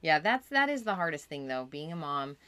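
A woman's voice: sits low, big pitch swings, brisk, a bit bright, a little hiss or hum. A mother co-hosting a podcast, chatting in an agreeable, affirming way. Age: middle-aged mother. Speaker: podcast co-host. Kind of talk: casual podcast chat.

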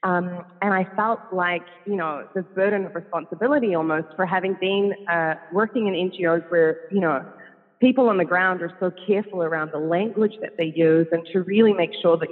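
Chatty New Zealand man, high, husky voice, laughs a lot, restarts often. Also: fairly quick. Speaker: podcast guest, consultant. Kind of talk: casual interview talk. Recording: phone-like line with long reverb.

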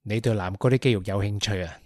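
A man's voice: lowish voice, quick pace, darkish tone, no room sound, studio quality, lightly acted. A man playing a teasing chatty guy. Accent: Hong Kong Cantonese. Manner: joking, talkative, teasing. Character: chatty teasing young man, office worker. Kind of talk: Cantonese radio drama acting.

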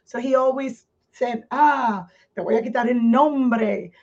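A woman's voice: a little high, muffled recording. Earnest woman in her fifties. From Puerto Rico. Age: 50s. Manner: candid, earnest, speaks in halting restarts. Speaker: guest. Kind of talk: personal life story in a podcast interview.

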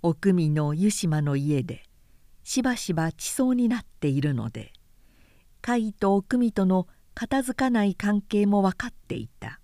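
A woman reading literary fiction aloud as an audiobook narrator, with measured and carefully enunciated delivery. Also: medium pitch, sing-song, dark tone, dry recording, studio quality.

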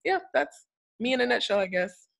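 A woman's voice: a little high, lively intonation, normal speed, creaky. A young woman from Florida, chatting as a guest about her cybersecurity career and laughing often between phrases. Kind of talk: casual interview talk.

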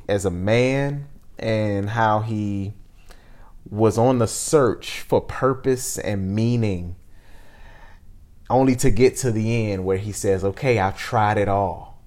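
A man in his thirties hosting a reflective interview, speaking in an earnest, deliberate way. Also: on the low side, darkish tone. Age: 30s. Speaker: podcast host.

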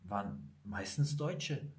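A man's voice: lowish voice, slow pace. A man with Italian roots talking bluntly and emphatically in a casual conversation, telling stories from his own life. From Italy. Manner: emphatic, blunt, animated storytelling.

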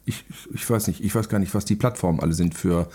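Guy on a podcast just chatting away, rough husky voice, bit rambly, doesn't take himself too seriously. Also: sits low, fast talker, dark tone. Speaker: podcast guest, film director and radio play writer. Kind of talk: casual chat.

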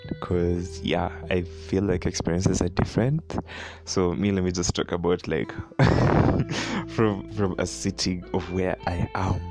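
A young Kenyan man with a deep voice and a Kenyan accent, chatting casually on his own podcast, rambling with many fillers and frequent laughs. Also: steady tone, a little slow, music in the background. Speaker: podcast host. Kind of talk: casual solo podcast chat.

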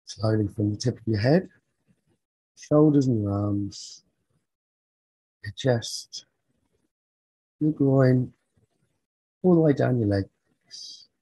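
A man leading a guided sleep meditation as a teacher, soothing and husky, speaking in short phrases with long pauses. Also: mid-range voice, warm tone, dry recording, low-quality recording.

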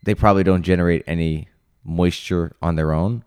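A man with laryngitis, hoarse and low, chatting casually as a podcast co-host. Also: a little fast, dry recording, clean studio sound. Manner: relaxed, chatty, reads sponsor ad casually.

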